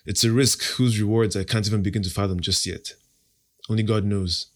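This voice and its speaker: Confident, playful Nigerian man, low voice. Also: steady tone, a little fast. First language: Igbo. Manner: confident, playful, self-assured. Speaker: podcast host, interview guest. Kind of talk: casual interview answers.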